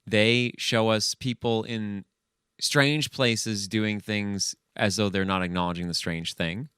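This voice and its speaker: A man's voice: lowish voice, fairly even intonation, slow pace, fairly bright tone, clear, resonant tone, no room sound, clean studio sound. A man co-hosting a podcast in casual, teasing, grumbling banter. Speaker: podcast co-host. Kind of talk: casual chat, bantering commentary.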